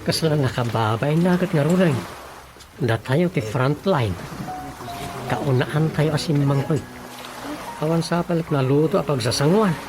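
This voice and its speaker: A man's voice: a little high, unhurried, long pauses, over music, natural voice, rough recording. An actor playing a plain-spoken, inquisitive village storekeeper man in an Ilocano radio drama comedy, with an Ilocano accent. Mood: grumbling.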